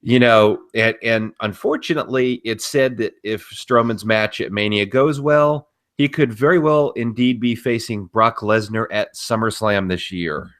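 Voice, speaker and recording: Forceful, blunt low-voiced man on a podcast. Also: a little slow, few pauses. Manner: blunt, profane, opinionated. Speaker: podcast co-host. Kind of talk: casual wrestling news discussion.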